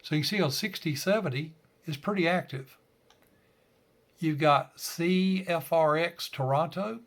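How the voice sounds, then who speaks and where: Higher-pitched man giving an informal tutorial. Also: unhurried, fairly bright tone. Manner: conversational, explanatory. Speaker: ham radio tutorial host. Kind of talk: informal step-by-step tutorial narration.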